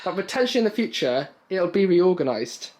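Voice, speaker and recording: A man's voice: high-pitched, very animated, a little fast, darkish tone. A young man chatting in a casual home vlog, teasing his partner in a dry, mock-serious way. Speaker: vlogger.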